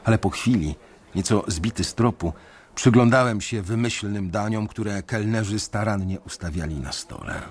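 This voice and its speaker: An actor narrating as a young male bank analyst in a radio drama, measured and reflective. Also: on the low side, slightly rough.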